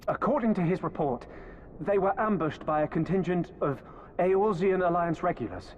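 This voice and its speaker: A man's voice: on the high side, natural voice. A man playing a deferential, anxious imperial commander in a video game, speaking formally and obsequiously. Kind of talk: dramatic video game cutscene acting. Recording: reverberant hall, background noise.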